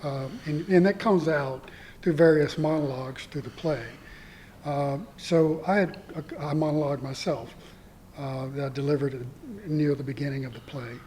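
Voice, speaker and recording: A man guest on a theatre podcast with a soft voice, speaking hesitantly with many fillers. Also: a little high, steady tone, moderate pace, slight background noise. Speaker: guest, community theatre actor. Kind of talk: casual interview.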